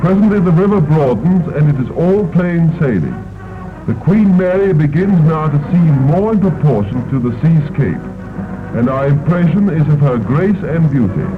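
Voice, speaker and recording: A man's voice: a little high, lively intonation, normal speed. Stately newsreel man, tinny. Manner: stately, ceremonious delivery. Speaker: newsreel narrator. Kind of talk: 1930s newsreel narration. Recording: tinny old film soundtrack with music.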